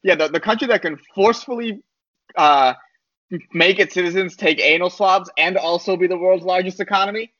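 A comedian with a high voice riffs sarcastically and breaks into laughs between punchlines. The recording is dry and a little dull, with no room sound. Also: male voice, moderate pace, fairly bright tone, no echo. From United States. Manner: joking, laughs mid-sentence, sarcastic asides. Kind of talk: comedic podcast banter.

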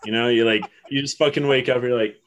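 A man's voice: mid-range voice, fairly even intonation, fast, fairly bright tone. A man in his thirties from Ohio, co-hosting a casual podcast chat in a rambling, laid-back way and laughing often. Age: 30s. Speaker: podcast co-host.

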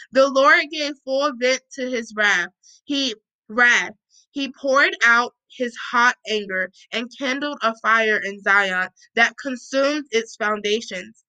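Bright-voiced woman reading aloud, unhurried. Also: fairly high pitch, poor audio. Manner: informal, self-interrupting, exclaims and corrects herself aloud. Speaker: host reading scripture aloud with commentary. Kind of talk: Bible reading with casual asides.

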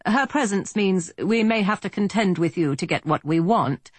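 A woman's voice: mid-range voice, animated, dry recording, voice pushed up, close to an everyday voice. A woman voicing a polished, well-to-do British man with a pleasant tenor, speaking formally and evenly. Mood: even, pressing. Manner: measured, formal, slightly rushed. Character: polished upper-class British man, even-toned negotiator.

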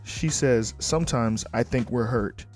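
A man hosting his own podcast in a loose, blunt, joking way, his voice going hoarse. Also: mid-range voice, a little slow, darkish tone, faint music behind. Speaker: podcast host. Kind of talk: casual solo podcast talk.

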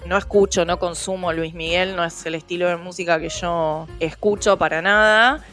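A woman's voice: fairly low pitch, fairly even intonation, normal speed, a bit bright, soft background music. A woman with a Rioplatense Spanish accent, co-hosting a casual podcast chat, slangy and full of fillers. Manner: chatty, slangy, frequent fillers. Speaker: podcast co-host.

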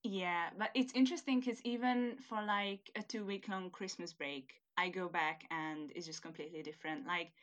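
Young Hungarian woman, lower voice, chatty. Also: fairly even intonation, moderate pace, hardly any pauses, a bit bright, highs cut off. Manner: chatty, laughs often, searches for words. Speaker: interviewee, student. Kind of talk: casual conversation.

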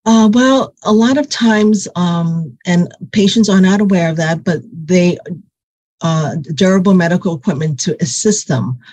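Halting woman physical therapist, dry sound. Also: on the low side, a little mellow, dry recording. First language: Cantonese. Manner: halting, many fillers and restarts. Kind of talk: interview answers.

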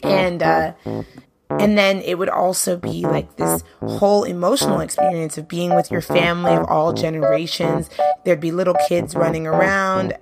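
A woman's voice: lowish voice, steady tone, moderate pace, vocal fry, loud music behind the voice. A young adult woman from Brooklyn, hosting her own podcast in a chatty, upbeat, casual way, full of "you know"s. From Brooklyn, New York. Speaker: podcast host, singer and bandleader. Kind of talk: casual solo podcast monologue.

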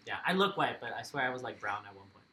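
A man's voice: medium pitch, animated, quick pace, bright, forceful tone, slight background noise. A man in his twenties from Mexico, a podcast guest chatting casually in a laid-back, rambling way and swearing freely. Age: 20s.

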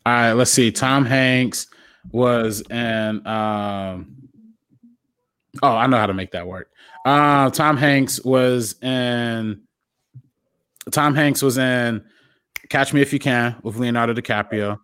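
A man's voice: medium pitch, takes it slowly, pauses often, fairly bright tone, clean tone, dry recording, studio quality. A man guest on a casual podcast, thinking aloud with many ums and restarts.

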